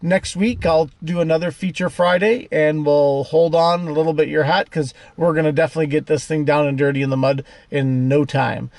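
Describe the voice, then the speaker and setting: Higher-voiced man hosting a casual vlog. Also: steady tone, fairly quick, creaky, hardly any pauses, a little mellow, dry recording. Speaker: host of an off-road vehicle channel. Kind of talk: casual product demo vlog.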